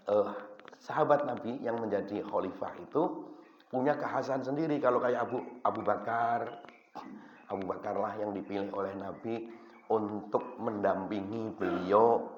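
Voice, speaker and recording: A middle-aged man gives an Islamic study sermon, with a measured, halting delivery and a cough and cold. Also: low-pitched. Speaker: religious teacher. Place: prayer room. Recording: echoey room, dull lo-fi sound, faint noise.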